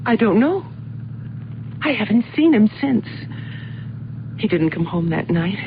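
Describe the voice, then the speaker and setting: A woman's voice: medium pitch, sing-song, unhurried. An anxious, distraught wife in a vintage radio drama. She pleads earnestly and will not be put off. The recording is thin and boxy, with some noise beneath the voice. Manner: imploring, insistent, earnest. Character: distraught, pleading wife of a missing truck driver. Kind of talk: old-time radio drama acting. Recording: noisy, narrow-band old radio recording.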